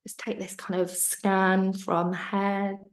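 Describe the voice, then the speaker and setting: A woman teaching yoga nidra, guiding a relaxation in a soft, soothing way that sometimes sinks to a whisper, over a video call. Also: medium pitch, completely flat delivery, slow pace. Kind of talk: guided relaxation instruction.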